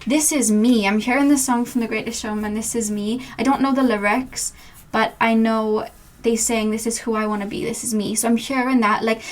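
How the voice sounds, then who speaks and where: Young female tarot reader, slightly high-pitched, loose and rambling, fumbles for words. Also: fairly even intonation, normal speed. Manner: meandering, filler-heavy, searching for words. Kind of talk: channeled tarot reading.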